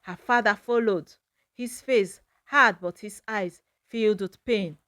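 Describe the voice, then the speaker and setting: A woman storyteller narrating a scripted folktale in a dramatic, measured manner. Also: medium pitch, a bit bright, dry recording, studio quality.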